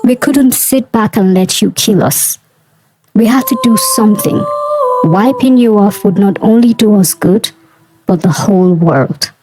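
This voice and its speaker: Woman acting a stern female deity, solemn, accusing, mid-pitched, storybook tale, music behind. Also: a little slow, close to an everyday voice, low-quality recording. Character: aggrieved, stern old goddess leading a pantheon.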